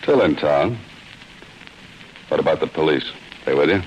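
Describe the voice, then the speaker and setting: An actor playing a cool, wry mystery writer on the run in a 1940s radio noir, talking in terse deadpan wisecracks. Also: male voice, a bass voice, normal speed, lo-fi sound. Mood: cool, pointed. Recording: noisy old 1940s radio recording.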